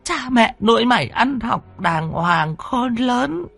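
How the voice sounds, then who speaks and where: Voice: mid-range voice, lively intonation, gravelly, fairly bright tone, soft background music, voice pushed up, exaggerated voice. A storyteller voicing a middle-aged village mother with a northern Vietnamese accent, scolding in hissed, wailing, badgering outbursts. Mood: anguished wailing. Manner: hissing, rapid badgering questions, wailing exclamations. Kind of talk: dramatic audio storytelling.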